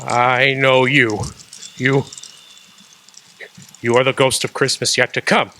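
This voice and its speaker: An actor playing a frightened old miser who stammers and pleads with a spirit in a radio drama. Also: male voice, medium pitch, little pitch movement, takes it slowly, sharp tone, slight background noise. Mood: fearful pleading. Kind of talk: amateur radio drama acting.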